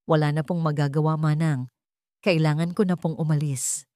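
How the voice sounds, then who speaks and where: A woman's voice: deep voice, steady tone, normal speed, warm tone, dry recording, clean studio sound, natural voice. A woman narrator voicing a poor young housemaid, humble and pleading, in a dramatic audio story. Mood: tearful. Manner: deferential, pleading.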